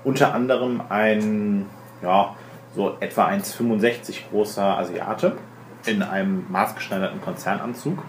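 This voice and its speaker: A man's voice: fairly low pitch, unhurried. A man narrating a tabletop role-playing game as game master, improvising descriptions with colloquial asides. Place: room with other players at a table. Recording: roomy, noisy group table recording.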